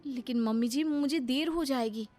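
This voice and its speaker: A woman playing a polite, eager-to-please young daughter-in-law in an animated moral story, deferential and apologetic. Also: high-pitched, normal speed, close to an everyday voice. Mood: hesitant.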